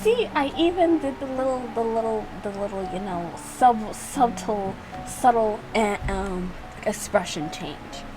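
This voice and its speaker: A woman's voice: on the high side, animated, unhurried, hardly any pauses, music in the background, poor audio. A woman giving casual, rambling playthrough commentary, giggly and poking fun at herself. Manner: self-mocking, giggly, rambling. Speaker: video creator reacting to own game.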